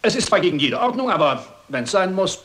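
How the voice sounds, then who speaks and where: A man's voice: high voice, very animated, brisk, a bit bright, a little hiss or hum. Pompous, lilting bishop played by a man. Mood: flustered, formal. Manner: pompous, hesitant, formal. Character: courteous, dithering, ceremonious male bishop chairing a meeting. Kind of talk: German crime radio play acting.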